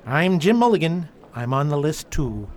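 A man plays a defensive ex-rebel investigator in a radio play. His voice is lightly pitched and swings a lot, wry and exasperated. Faint noise sits in the recording. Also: very animated, moderate pace, a little mellow. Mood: flustered. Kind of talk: audio drama acting.

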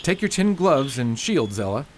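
A man's voice: mid-range voice, very animated, moderate pace, background noise, natural voice. A man playing a practical, protective father and tin merchant in a radio fairy tale, speaking earnestly.

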